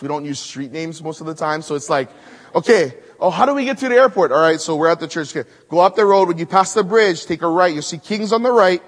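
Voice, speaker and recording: High-voiced preacher from Maui in a hall. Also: male voice. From Hawaii (Maui). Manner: emphatic, swings between loud rising build-ups and hushed low asides. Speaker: guest preacher. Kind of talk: conference sermon with storytelling.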